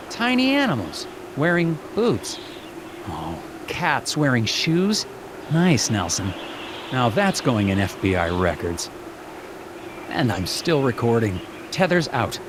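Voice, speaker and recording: Earnest dorky young fbi agent for a cartoon game, swingy pitch, kinda hesitant, clear noise behind. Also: male voice, measured pace. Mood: musing, deadpan. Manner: polite, hesitant, deadpan. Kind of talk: animated adventure game dialogue and dictated notes.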